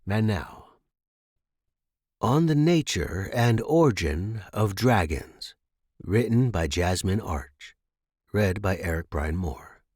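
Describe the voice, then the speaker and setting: A man's voice: sits low, expressive, slow pace, a little mellow, slightly rough, dry recording, clean studio sound. A man hosting a podcast, giving an informal, enthusiastic introduction. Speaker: podcast host.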